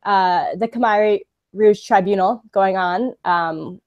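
A woman's voice: medium pitch, slow pace, darkish tone, highs cut off. A young woman in her twenties from the San Francisco Bay Area, a guest speaking casually and upbeat on a live webcam video call, laughing often and using many fillers. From San Francisco Bay Area, USA. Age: 20s. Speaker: interview guest, pageant delegate. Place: indoor room, sitting on a sofa.

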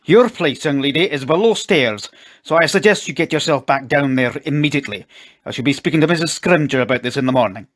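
A man's voice: fairly high pitch, expressive, a little fast, pitched above the performer's own voice. A man playing a furious, pompous middle-aged upper-class father in a storybook, bellowing imperiously. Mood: furious, stern.

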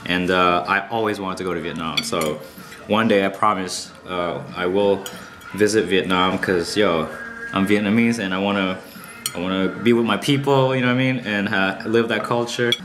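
A man's voice: sits low, steady tone, normal speed, a bit bright. A young man in his twenties from Canada, chatting casually and slangily to his viewers in a small room at home. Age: 20s. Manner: laid-back, slangy, talks straight to viewers. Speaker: vlogger. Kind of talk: casual vlog chat to camera.

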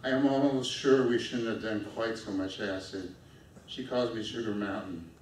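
A middle-aged man with a low voice reads his poem in a steady, measured way. He stands at a stand mic on a small stage. The sound is caught from far off in an echoey room. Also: slight background noise. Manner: steady, measured reading from paper at a lectern. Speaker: poet reading his own work. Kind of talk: poetry reading. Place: small dark stage with spotlights. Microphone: stand mic at a lectern, filmed from far off.

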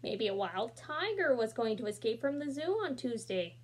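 A young woman reading a children's picture book aloud in a small room, expressive and adding casual asides, recorded on a laptop camera. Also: a little high, normal speed, slightly rough.

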